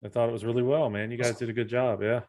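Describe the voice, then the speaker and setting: A man co-hosting a podcast, chatting in a relaxed way and adding frequent brief agreement. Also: medium pitch, racing. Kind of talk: casual podcast chat.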